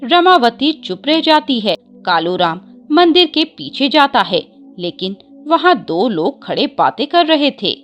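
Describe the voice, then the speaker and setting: A woman's voice: high voice, sing-song, measured pace, few pauses, fairly bright tone, muffled recording, faint music behind. A woman narrating a scripted devotional story as a storyteller.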